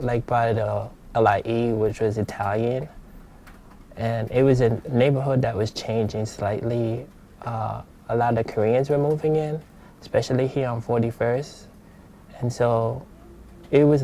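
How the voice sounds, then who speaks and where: Mellow-voiced man in his fifties from Jamaica. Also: mid-range voice, slight background noise. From Jamaica, moved to New York at sixteen. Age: 50s. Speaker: interviewee, long-time resident. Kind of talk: casual reminiscing interview.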